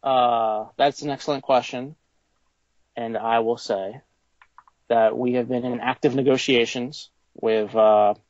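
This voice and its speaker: A man's voice: medium pitch, steady tone, measured pace, darkish tone, dry recording, dull audio. A man being interviewed about his toy company, talking in a casual, conversational way.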